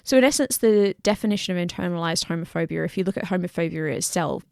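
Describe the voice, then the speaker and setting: A woman's voice: on the low side, vocal fry, no room sound, clean studio sound. A young woman co-hosting a podcast, chatty and laughing often, sometimes dropping to a near-whisper. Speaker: podcast co-host. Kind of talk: casual chat.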